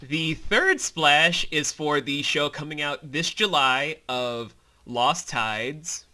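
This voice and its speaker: Male creator-host, higher-pitched and sharply bright, rambling eagerly through informal commentary. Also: takes it slowly. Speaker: show creator co-hosting a commentary. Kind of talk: casual behind-the-scenes commentary chat.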